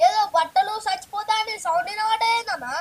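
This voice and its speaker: A woman plays a nosy, gossipy friend with a Telangana Telugu accent. Her voice is very high and quick, with a teasing sing-song edge. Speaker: comedy skit actor.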